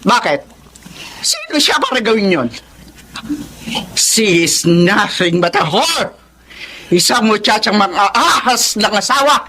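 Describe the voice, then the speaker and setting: A woman's voice: mid-range voice, big pitch swings, slow, long pauses, sharp tone, breathy edge, exaggerated voice. An actress playing a domineering, scornful wealthy mother in a Filipino melodrama, haughty and accusing, with a Filipino accent and bits of English. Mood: cold, threatening. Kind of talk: melodramatic radio drama acting.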